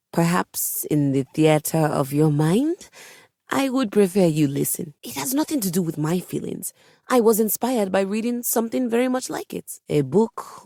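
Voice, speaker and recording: A woman's voice: lowish voice, dark tone, no room sound, clean studio sound. A woman voicing a haughty, theatrical piano in a dating game, speaking with refined, precise, dramatic diction and disdainful formality. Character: haughty, theatrical female grand piano with wounded diva pride. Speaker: video game voice actor. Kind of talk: character dialogue for a dating simulator game.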